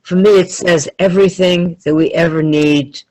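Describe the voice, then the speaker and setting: A man in an audience giving an earnest personal response in measured, deliberate phrases. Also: sits high, fairly even intonation, a little mellow, rough recording. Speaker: audience member.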